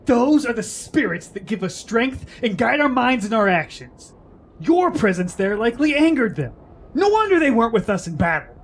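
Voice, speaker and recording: An actor playing a proud, stubborn warrior in a fantasy radio drama, speaking in a brusque, challenging way. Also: male voice, very high-pitched, big pitch swings, normal speed, full character voice. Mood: defiant, firm.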